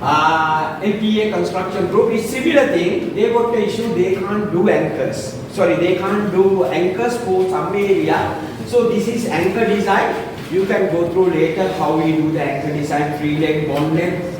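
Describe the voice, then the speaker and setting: Sri Lankan engineer lecturing in a hall. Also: male voice, high voice, measured pace. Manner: informal, frequent "right?" tag questions. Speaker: guest lecturer, principal engineer. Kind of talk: technical talk to engineers. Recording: echoey hall, far from the mic, noisy.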